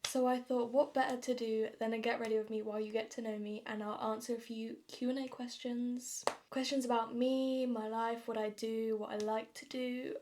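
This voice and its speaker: A woman's voice: on the high side, level tone, normal speed, few pauses, a little mellow, slight background noise. A nineteen-year-old woman with a southern English accent chatting casually and self-deprecatingly to viewers in a vlog. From London, England. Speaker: vlogger. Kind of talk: casual get-ready-with-me chat to viewers.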